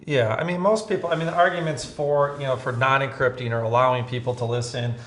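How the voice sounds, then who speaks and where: A man's voice: medium pitch, steady tone, fast talker, fairly bright tone. American man explaining things in casual conversation. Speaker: co-host, police scanner seller. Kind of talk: casual explanatory talk in a tutorial video.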